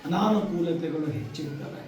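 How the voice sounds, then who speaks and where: A man giving a motivational speech to students, emphatic, with rhetorical repetition and deliberate pauses. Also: a little high. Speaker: guest speaker addressing schoolchildren. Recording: echoey, distant microphone, faint noise.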